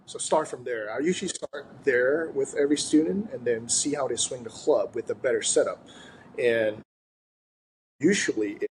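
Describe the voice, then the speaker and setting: A man's voice: mid-range voice, moderate pace, a little hiss or hum, poor audio. A man from Thailand, a sports coach chatting casually as an interview guest. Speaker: golf coach, podcast guest.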